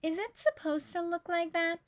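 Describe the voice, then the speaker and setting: A woman's voice: extremely high pitch, normal speed, voice pushed up. A woman voicing eager, sweet young schoolchildren in a storybook, with an innocent manner. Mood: puzzled.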